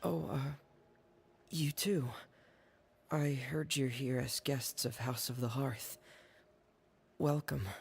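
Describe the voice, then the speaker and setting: An actor playing a shy, guarded teenage boy in a video game, hesitant and halting, stumbling over fillers. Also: mid-range voice, vocal fry, a little hiss or hum.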